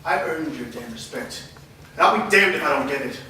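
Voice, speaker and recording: A man voices a crude, slurring drunk in his middle years, with a bright, forceful voice. He is picked up from across an echoey room, with a faint hiss behind him. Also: on the high side, expressive, fairly quick, far from the mic. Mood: angry. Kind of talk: staged play reading.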